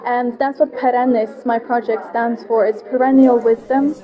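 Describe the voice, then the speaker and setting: A woman's voice: a little high, fairly even intonation, moderate pace, mellow, rough recording. A woman who grew up in Sweden, an interview guest and food project founder, explaining her ideas earnestly in simple steps. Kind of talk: casual interview conversation.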